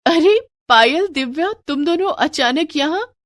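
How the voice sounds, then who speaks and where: A woman's voice: sits high, expressive, unhurried, a bit bright, dry recording, studio quality, pitched above the performer's own voice. A woman voicing a humble, apologetic and dutiful young daughter-in-law in a family moral story. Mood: surprised.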